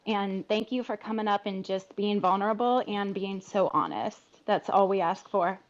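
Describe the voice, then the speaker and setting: A woman's voice: mid-range voice, normal speed. A woman in a casual group audio chat, speaking in a gushing, supportive way. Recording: dull lo-fi audio chat sound.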